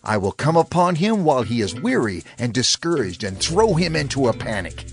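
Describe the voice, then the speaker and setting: Ruthless scheming court adviser in bible drama, deliberate, lively medium voice, music under. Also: male voice, medium pitch. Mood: scheming, resolute. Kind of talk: dramatized audio Bible acting.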